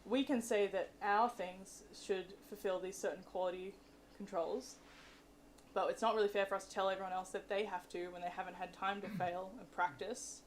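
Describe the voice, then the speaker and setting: A woman's voice: medium pitch, fairly even intonation, normal speed. A woman giving informal, candid explanations as a guest science speaker answering questions at a live talk. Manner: chatty, explanatory, candid about limits of expertise. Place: presentation room with an audience. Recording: noisy live room recording.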